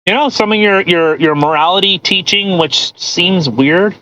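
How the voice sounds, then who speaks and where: A woman's voice: lowish voice, unhurried, fairly bright tone, rough recording. A woman hosting a podcast, chatting casually in a rambling, incredulous and mocking way. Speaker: podcast host. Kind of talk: casual chat.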